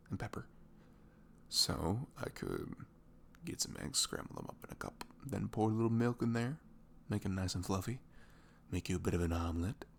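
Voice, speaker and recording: A man playing a sweet, caring boyfriend in an intimate ASMR roleplay, rambling casually and playfully with breathy asides that drop into whispers. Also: sits low, a little hiss or hum. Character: sweet, caring boyfriend chatting the morning after. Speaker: audio roleplay performer.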